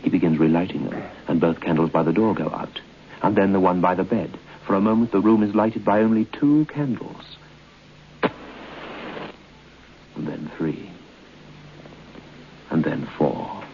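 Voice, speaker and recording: An actor playing a grave narrator of a radio ghost story, speaking in a deliberate, suspenseful way. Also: male voice, low voice. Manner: deliberate, ominous. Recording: dull, noisy old radio drama recording.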